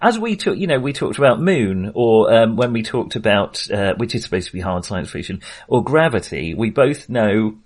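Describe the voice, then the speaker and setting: Man with very animated pitch. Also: moderate pace, hardly any pauses. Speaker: film podcast co-host. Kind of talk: casual film discussion.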